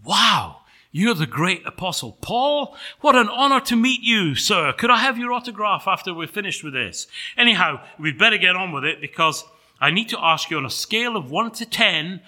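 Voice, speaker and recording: A man's voice: high-pitched, sing-song, moderate pace, pushed and bright, pitched above the performer's own voice, heavily acted. A man voicing an eager, flustered angel who pleads like a starstruck defense counsel in a sermon sketch.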